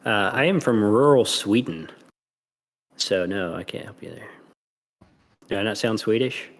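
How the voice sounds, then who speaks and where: Low-voiced American man, slight Southern accent. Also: expressive, unhurried. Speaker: gamer on a podcast. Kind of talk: casual chat.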